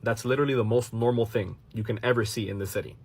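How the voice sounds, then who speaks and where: A man's voice: medium pitch, steady tone, rapid-fire, slightly rough. A man from Miami reacting to a clip and explaining his view straight to viewers, dropping in Spanish phrases. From Miami, United States. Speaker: commentary channel host. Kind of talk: casual reaction commentary to a clip.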